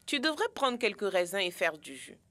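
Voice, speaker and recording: A woman's voice: medium pitch, very animated, moderate pace, sharp tone. A woman voicing a greedy, carefree and boastful young character in a children's cartoon, cheeky and breezy. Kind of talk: children's educational cartoon dubbing.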